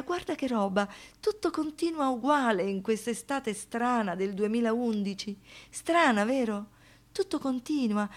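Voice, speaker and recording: Actress playing a haunted woman, intense theatrical monologue. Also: on the high side. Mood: wistful, haunted. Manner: intense, deliberate, with dramatic pauses and rhetorical questions. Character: haunted, wounded woman, lyrical, pleading and defiant. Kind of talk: theatrical radio monologue, poetic and dramatic delivery.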